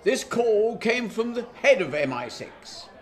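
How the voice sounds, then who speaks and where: A male actor plays an in a radio drama, defending himself in formal parliamentary oratory. The man's voice is pitched high and swings widely as he makes each measured, emphatic point. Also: big pitch swings. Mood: grave, insistent. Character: defensive British Prime Minister making a grave statement.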